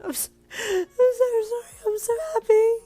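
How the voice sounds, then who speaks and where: A woman's voice: very high voice, fairly even intonation, moderate pace, mellow, faint music behind, pitched above the performer's own voice. A woman voicing an emotional, overwhelmed nineteen-year-old girlfriend, gushing and dramatic, in a romance story. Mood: wailing happily. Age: young adult.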